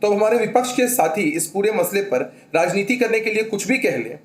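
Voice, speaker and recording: Male party spokesman, high pitch, formal press statement, reverberant room. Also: lively intonation, moderate pace. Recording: echoey room.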